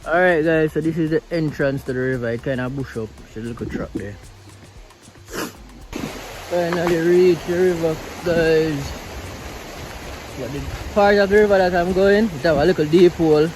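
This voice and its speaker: A man's voice: fairly high pitch, moderate pace, pauses often, music in the background, low-quality recording. A man from Jamaica with a Jamaican Patois accent, chatting casually in a vlog by a river and mixing Patois into his English. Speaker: vlogger. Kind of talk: casual vlog talk outdoors. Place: outdoors by a river.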